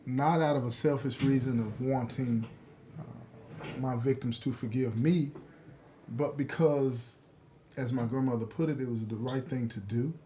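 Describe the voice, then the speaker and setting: A man giving a reflective personal testimony, speaking in deliberate, halting phrases with pauses. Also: mid-range voice, fairly even intonation, warm tone, slight background noise. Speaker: prisoner telling his own story.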